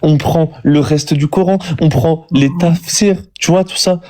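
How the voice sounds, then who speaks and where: Insistent man with a cold, dark voice. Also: on the high side, steady tone, a little slow. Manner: insistent, argumentative, lecturing. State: has a cold. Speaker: debate guest. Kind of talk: heated live debate.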